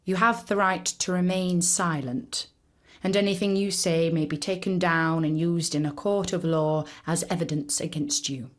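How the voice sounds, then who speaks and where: A woman's voice: lowish voice, steady tone, normal speed, lightly acted. A woman voicing a stern, bossy police officer with a commanding, official manner in a children's story. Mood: formal, stern.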